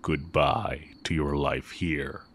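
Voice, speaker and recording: Guy doing a super deep slow talking evil magic teacher, sly and teasing but kinda tender, intimate fantasy roleplay audio. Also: steady tone, fairly bright tone, slight background noise, exaggerated voice. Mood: coaxing, teasing. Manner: deliberate, teasing, with long pauses. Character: exiled heretic male magic teacher, sly, brooding, tender dark mage.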